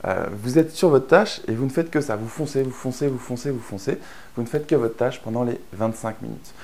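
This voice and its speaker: Man, from France, course instructor, mellow tone, relaxed chatty delivery, lots of fillers. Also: medium pitch, brisk, a little hiss or hum. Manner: chatty, many fillers and restarts. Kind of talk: explanatory course narration.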